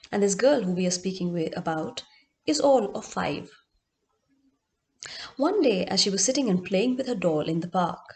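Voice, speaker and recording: A woman's voice: medium pitch, gravelly. A woman storyteller narrating expressively and dramatically, in short emphatic phrases with repetition.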